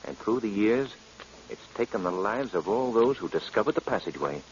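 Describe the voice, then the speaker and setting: An actor playing an assured gentleman detective in a vintage radio drama, with an earnest, clipped, theatrical delivery. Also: fairly low pitch, expressive, a little fast, close to an everyday voice. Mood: explaining. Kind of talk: 1940s radio drama acting. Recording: dull old radio recording.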